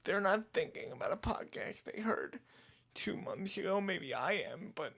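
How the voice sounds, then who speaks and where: A man's voice: sits high, animated, normal speed, gravelly, a bit bright. A man chatting casually as a guest on a podcast, a podcaster himself. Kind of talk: casual chat.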